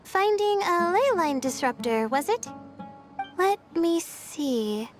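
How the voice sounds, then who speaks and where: A woman voicing a young female student astrologer in a video game, with a soft, earnest delivery. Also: very high-pitched, very animated, takes it slowly, faint music behind, heavily acted. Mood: relaxed, self-assured.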